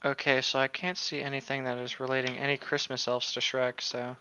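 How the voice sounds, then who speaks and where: Bright, joking co-host voice. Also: mid-range voice, flat delivery, a little fast, clean tone, low-quality recording. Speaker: podcast co-host reading fan fiction aloud. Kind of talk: casual joking chat.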